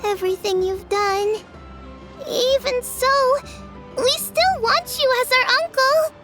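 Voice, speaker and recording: A woman's voice: very high-pitched, slow pace, bright, forceful tone, soft background music, exaggerated voice. A woman voicing an earnest, heartfelt small child in a video game. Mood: tender, sincere. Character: earnest small child devoted to adopted uncle. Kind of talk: video game character acting.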